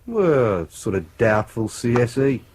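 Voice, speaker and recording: British policeman, unlettered grumbler, very animated, raspy, chatty, radio drama. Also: medium pitch, slow pace, heavily acted. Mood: grumbling, sheepish. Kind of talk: naturalistic radio drama acting.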